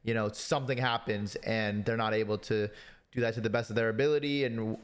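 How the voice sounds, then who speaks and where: A man's voice: on the low side, steady tone, fairly quick, clear, resonant tone. A man hosting a podcast, chatting casually and supportively with his guest, lots of "like". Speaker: podcast host. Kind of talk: casual interview chat.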